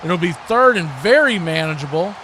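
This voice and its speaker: An American man calling live football play-by-play in excitable, clipped phrases. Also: high-pitched, moderate pace, a little hiss or hum, poor audio. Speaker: play-by-play announcer.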